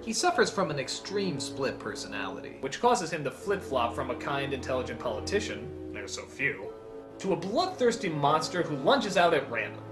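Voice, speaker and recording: A man's voice: a little high, moderate pace, music in the background. A man narrating a scripted comedic review in an emphatic, exclamatory way. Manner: animated, emphatic, exclamatory, profane. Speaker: video reviewer and countdown host.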